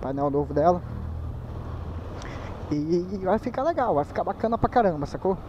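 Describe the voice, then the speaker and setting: A high-voiced man from Manaus with a Brazilian Portuguese accent rides through the city at night and talks to his viewers in loose street slang. His helmet camera makes the audio dull and muffled. Loud wind and engine roar sit right under his voice. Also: animated, moderate pace. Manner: laid-back slangy chatter to viewers. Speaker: motovlogger. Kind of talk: casual motovlog commentary while riding. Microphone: helmet camera mic.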